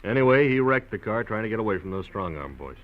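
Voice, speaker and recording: An actor playing an easygoing police desk sergeant in a 1950s radio drama, talking in a casual, offhand way. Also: male voice, fairly low pitch, very animated, fast talker, lo-fi sound. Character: easygoing middle-aged male police sergeant.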